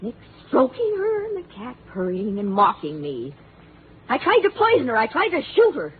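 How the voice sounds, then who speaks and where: An actress playing a bitter, paranoid, frightened widow with overwrought, melodramatic delivery, in 1950s radio drama style. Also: female voice, a little high, huge pitch swings, moderate pace, breathy edge, full character voice. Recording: tinny, noisy 1950s radio broadcast.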